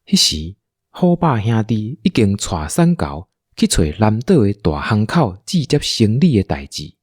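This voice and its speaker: A man with a Taiwanese accent narrating a scripted story as an audio drama narrator, in a husky voice. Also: fairly low pitch, sing-song, a little slow, a little mellow, no room sound, clean studio sound.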